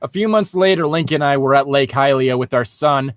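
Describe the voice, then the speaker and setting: A man's voice: medium pitch, brisk, dry recording, clean studio sound. A man reading a story aloud as a show co-host, breaking in with sarcastic, nitpicking commentary in a casual way. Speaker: co-host of a comedic fan fiction reading show.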